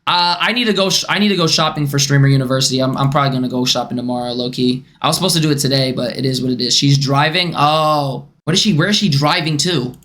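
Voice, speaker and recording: A young man with a high, boyish voice, streaming casually as he reacts to clips, animated and swearing. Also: fast, few pauses.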